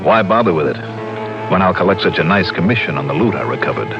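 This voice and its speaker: A man's voice: low voice, sing-song, quick pace, over music. Detektif bersuara rendah, rekaman radio teredam. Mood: wry. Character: cool, wry male insurance investigator narrating his case. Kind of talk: 1960s radio detective narration. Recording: muffled old radio recording.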